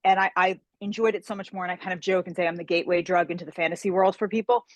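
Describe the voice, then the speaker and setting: Woman fantasy writer chatting on a video call, lowish voice, dry sound, lots of enthusiasm. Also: fairly even intonation, racing, no room sound. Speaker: guest, fantasy author. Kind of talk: casual interview conversation.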